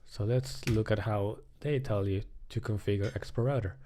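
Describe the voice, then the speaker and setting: A man's voice: on the low side, steady tone, moderate pace, dark tone, a little hiss or hum. A man live coding in a casual way, thinking aloud and muttering hesitantly to himself.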